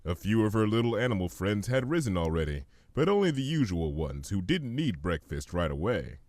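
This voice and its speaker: Expressive male storyteller, very animated pitch. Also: a little fast, no echo. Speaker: fan fiction reader. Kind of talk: expressive scripted storybook narration.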